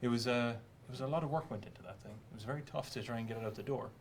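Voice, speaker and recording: A man talking quickly and casually as a game developer in an unscripted panel chat. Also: mid-range voice, a little hiss or hum.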